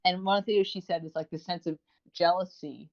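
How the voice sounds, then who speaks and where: Lower-voiced woman, rambling, dull lo-fi audio. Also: fairly even intonation, brisk. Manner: rambling, wordy, informal. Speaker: podcast co-host. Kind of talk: casual podcast commentary. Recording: dull lo-fi voice recording.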